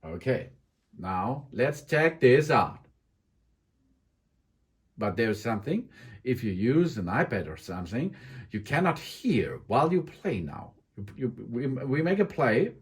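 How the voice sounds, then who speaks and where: A man walks through a home recording setup at an unhurried pace, his pitch rising and falling a lot. His voice is slightly husky, and he stops often to search for words and restart his sentences. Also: very animated. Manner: hesitant, frequent ums and restarts. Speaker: tech tutorial maker. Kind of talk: tutorial explanation. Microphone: condenser microphone into audio interface.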